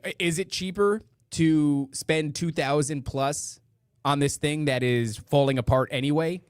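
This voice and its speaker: A man with a rough voice hosting a podcast, chatting casually in rambling, slangy, profane talk to a live audience online. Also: mid-range voice, no room sound, clean studio sound. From northeastern United States. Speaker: podcast host. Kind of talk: casual live chat, reading listener comments.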